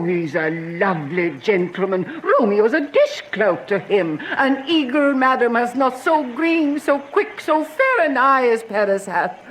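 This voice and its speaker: Woman acting gossipy older nurse, swooping animated voice. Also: a little high, a little hiss or hum, poor audio. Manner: chatty, emphatic, exclaiming. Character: Juliet's gossipy, practical older female nurse. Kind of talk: theatrical Shakespearean radio drama acting.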